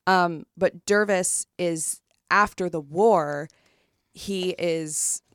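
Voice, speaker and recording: A woman's voice: fairly low pitch, drawn-out words, smooth, no room sound, clean studio sound. A woman co-hosting a casual book podcast, retelling a true story with lots of fillers and restarts. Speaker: podcast co-host.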